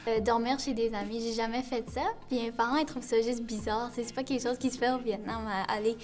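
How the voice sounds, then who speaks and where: A woman's voice: on the high side, fairly even intonation, a little fast, faint music behind. A young woman from Canada, a student, answering casually in an interview. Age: young adult. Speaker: student panelist.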